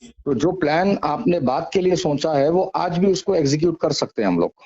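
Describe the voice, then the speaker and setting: A man's voice: fairly high pitch, lively intonation, normal speed, darkish tone, breathy edge. A man speaking politely and in a conciliatory way, negotiating over a phone call. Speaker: head of an Islamic seminary. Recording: dull, lo-fi phone call recording.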